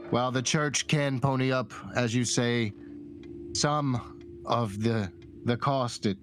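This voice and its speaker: A man acts out a middle-aged cleric who is dying, in a tabletop fantasy game. He speaks slowly at a middling pitch, weary and earnest, with halting, formal gravity. Music plays behind him. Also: close to an everyday voice.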